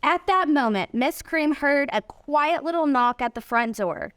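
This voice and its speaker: A woman reading a children's storybook aloud in an expressive storytelling way. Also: high voice, a little slow, dry recording, studio quality. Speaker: volunteer reader, nonprofit executive.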